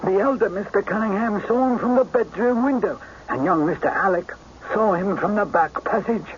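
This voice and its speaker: An eager, respectful police inspector, acted by a man reports facts in a high-pitched voice. The radio drama sound is dull, with faint hiss. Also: moderate pace, close to an everyday voice. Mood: reporting. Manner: eager, factual, deferential. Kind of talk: radio mystery drama acting. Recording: muffled, hissy old radio recording.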